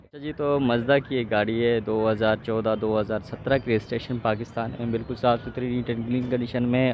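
A man vlogging at an outdoor car market, briskly describing cars and questioning sellers. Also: mid-range voice, lo-fi sound. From Pakistan. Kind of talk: walk-around car review vlog. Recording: outdoor street noise.

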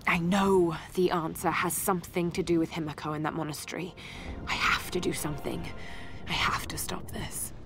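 An actress playing a shaken but determined young adventurer, narrating her survival journal in a game with a breathy voice that often drops toward a whisper. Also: lowish voice, steady tone, moderate pace, close to an everyday voice. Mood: grieving, resolute. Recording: music behind the voice.

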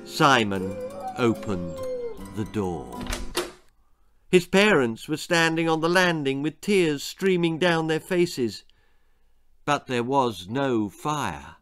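An older man narrates a children's tale with theatrical deliberation. His delivery is slow and swings widely in pitch. Faint music sits behind him. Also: expressive, fairly bright tone. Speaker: author reading his own children's story. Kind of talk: dramatic storytelling, scripted narration. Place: small book-lined room indoors.

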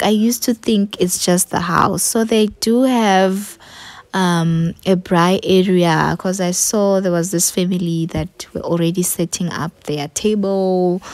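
A woman's voice: on the low side, no room sound. A woman with a South African accent doing a casual, easygoing vlog voice-over, as if thinking it through. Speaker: vlogger.

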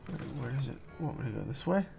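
A man talks through a video game in a casual, offhand way, tossing out jokes. His mid-pitched voice has a gravelly fry. Faint game music plays under him. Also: lively intonation, fast talker. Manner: offhand, joking asides. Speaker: gamer. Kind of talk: casual gameplay commentary.

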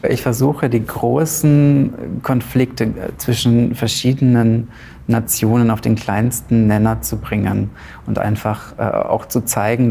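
Man, thirties, from Romania, dark mellow tone, flat delivery, hesitant fillers, lapel mic in a big hall. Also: medium pitch, gravelly, a little hiss or hum. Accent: Zipser German dialect. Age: 30s. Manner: hesitant, pauses often with fillers. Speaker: playwright. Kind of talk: thoughtful interview answers. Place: large ornate hall with chandeliers.

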